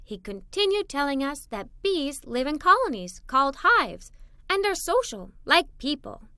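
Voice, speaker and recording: Bright, high-pitched woman reading a children's story. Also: no echo, clean studio sound. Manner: expressive, dramatic storytelling. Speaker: storybook narrator. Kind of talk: animated read-aloud for young children.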